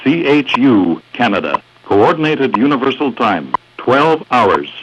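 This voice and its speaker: Heavy noise and beeps surround a dull shortwave broadcast. A male announcer states the time formally in clipped phrases, with a lively swing in pitch. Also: measured pace. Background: loud static and time beeps.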